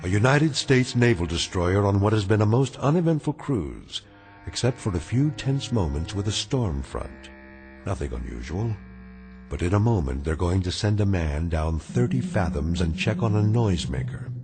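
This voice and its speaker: A man narrating an eerie radio drama in a grave, measured, ominous manner. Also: low-pitched, expressive, over music. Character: grave male narrator of an eerie anthology tale.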